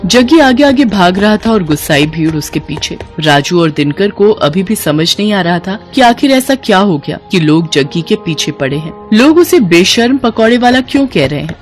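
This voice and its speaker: A woman reading scripted narration as the storyteller of a cartoon moral tale. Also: fairly low pitch.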